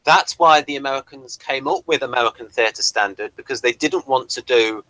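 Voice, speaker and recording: A man with an English accent explaining things in casual discussion, often halting and rephrasing as he goes. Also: medium pitch, bright, forceful tone, no echo, poor audio. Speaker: podcast reviewer.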